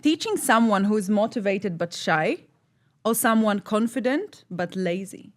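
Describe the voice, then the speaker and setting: A woman's voice: medium pitch, animated, measured pace. Playful woman interviewer, English. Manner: playful, teasing questions. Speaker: interviewer host. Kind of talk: casual interview chat.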